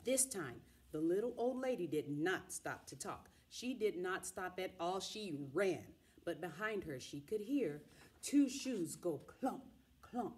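A middle-aged woman reading a children's picture book aloud in an expressive, dramatic way, drawing out the sound words. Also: on the low side, unhurried. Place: small indoor room with wall cards and pillows.

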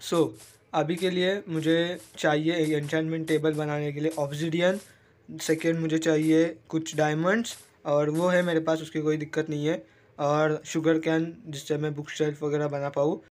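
A man, hoarse from a cold, chatting casually as he narrates his own game play and mixes English words into Hindi. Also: fairly high pitch, little pitch movement, moderate pace, darkish tone. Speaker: gaming YouTuber.

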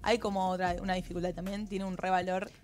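A woman's voice: lowish voice, little pitch movement, soft background music. A woman in her thirties with an Argentine accent, hosting a TV interview in a studio with a handheld mic, gushing and enthusiastic. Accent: Argentine Spanish. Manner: gushing, enthusiastic, quick-talking. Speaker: TV host. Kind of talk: casual TV interview. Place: TV studio set.

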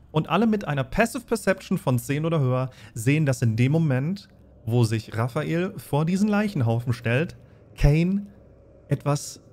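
A man's voice: mid-range voice, darkish tone. A man, narrating a tabletop role-playing game as game master.

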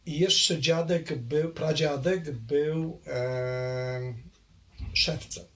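An older man recalling his family history in a halting way, full of pauses and hesitations. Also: fairly high pitch, fairly bright tone. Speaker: interviewee, longtime local resident. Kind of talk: oral history recollection.

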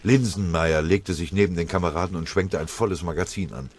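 Very deep-voiced male audiobook narrator. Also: fairly quick, a little hiss or hum. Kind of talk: dramatic scripted war-story narration.

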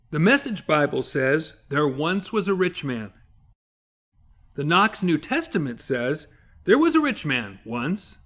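A man in his fifties teaching from the Bible to camera in an emphatic, explanatory preaching style, with dramatic pauses. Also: fairly high pitch, fairly bright tone.

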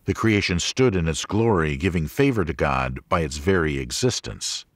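Male narrator for religious audiobook: low register, measured and articulate reading, dry studio-like sound. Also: no room sound. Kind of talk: scripted audiobook narration of a religious teaching book.

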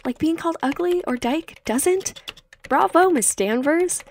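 A woman's voice: very high-pitched, animated, measured pace, pitched above the performer's own voice, exaggerated voice. A woman voicing a bold, sarcastic teenage schoolgirl with an emphatic, sassy delivery for an audiobook. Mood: sassy, defiant. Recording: slightly noisy home recording.

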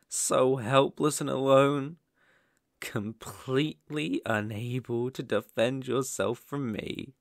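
A man playing a sadistic, obsessive young kidnapper in an ASMR roleplay, gloating with menacing, mocking, drawn-out phrasing. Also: medium pitch, creaky, dry recording, clean studio sound. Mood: cold, possessive.

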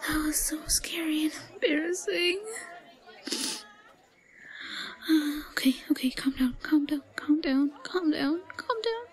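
A woman's voice: high voice, moderate pace, close to an everyday voice, poor audio. A woman playing a timid, lovestruck yandere schoolgirl in an audio roleplay, stammering and murmuring breathily with hesitation. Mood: flustered, panicked. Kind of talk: intimate audio roleplay acting.

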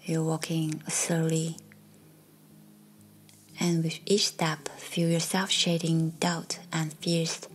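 A woman guiding a meditation in a soft, soothing, breathy voice that often nears a whisper, leaving long pauses. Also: deep voice, completely flat delivery. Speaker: meditation guide. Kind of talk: guided meditation narration. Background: faint music.

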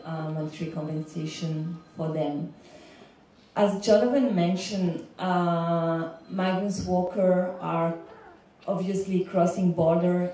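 Halting Belgian woman in an echoey room. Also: lowish voice, dark tone. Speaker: legal consultant, panel speaker. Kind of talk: semi-prepared panel speech. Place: indoor lecture room panel. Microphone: handheld microphone, recorded by far camera. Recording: echoey room, distant, faint background noise.

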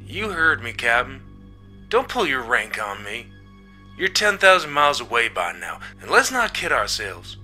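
A man's voice: mid-range voice, big pitch swings, moderate pace, pushed and bright, faint music behind. A man playing a bitter, mutinous spaceman in a story reading, sardonic and goading in manner. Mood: defiant, scornful. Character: bitter, mutinous male spaceman, taunting and spiteful.

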